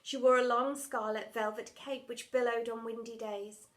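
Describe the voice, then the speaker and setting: A woman's voice: on the high side, steady tone, moderate pace. A woman narrating a children's storybook, reading scripted text as a primary school storyteller.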